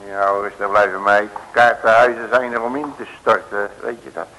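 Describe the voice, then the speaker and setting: An actor playing a folksy, street-smart detective who blusters, stammers and repeats himself in an old radio comedy serial. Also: male voice, on the low side, fairly even intonation, normal speed, bright, forceful tone, slight background noise. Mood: defiant. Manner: stammering, repeating words, colloquial. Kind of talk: 1950s-60s Dutch radio comedy serial acting. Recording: old radio recording, hollow reverberation.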